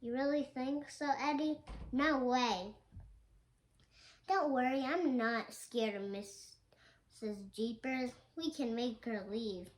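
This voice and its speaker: A young girl reads a graphic novel aloud in a high voice. Her pace is slow and halting, as she sounds out words along the way. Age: child. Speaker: young reader on a home video.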